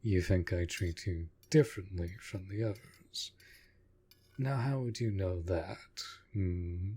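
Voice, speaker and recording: A man playing a courtly, protective vampire lord in a roleplay audio drama, speaking softly and formally in measured, deliberate phrases. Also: sits low, slightly rough, heavily acted. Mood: concerned. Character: courtly, protective male vampire master of a manor.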